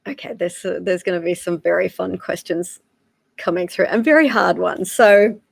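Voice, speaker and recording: A woman's voice: lowish voice, normal speed, creaky, no echo. A woman in her thirties with an Australian accent, giving a casual live-streamed lesson, with lots of "uh" and "um" as she talks. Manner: frequent filler "uh" and "um", relaxed and chatty. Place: home in South Africa.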